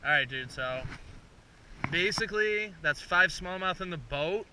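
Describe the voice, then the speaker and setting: A man's voice: sits high, lively intonation, measured pace, distant mic. A man hosting a fishing vlog, chatting casually with bro-ish slang and saying "dude" constantly. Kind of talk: casual excited chat while fishing, then recap to viewers. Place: outdoors on a small fishing boat. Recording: wind, boat and engine noise.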